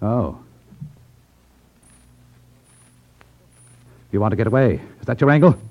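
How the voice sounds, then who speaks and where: An actor playing a menacing, smoothly pompous crime boss in 1950s radio drama style. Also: male voice, fairly low pitch, very animated, rapid-fire. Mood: wary, threatening. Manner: smooth, mockingly polite, pompous. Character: suave, menacing crime boss of a betting racket. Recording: dull 1950s radio recording.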